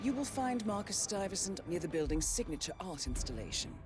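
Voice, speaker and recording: A woman's voice: lowish voice, very animated, fairly quick. A woman playing a composed, authoritative mission handler, giving a formal, precise briefing in a video game cutscene. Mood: cool, formal. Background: music.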